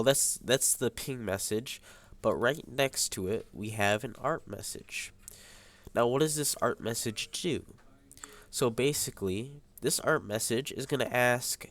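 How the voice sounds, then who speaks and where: Young man, congested nasal sound, slightly low voice, halting student tutorial delivery. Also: a bit bright. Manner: hesitant, many ums and uhs. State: congested, stuffy nose. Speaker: high school student. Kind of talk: screen-recorded tutorial. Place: at a computer, voice over screen capture.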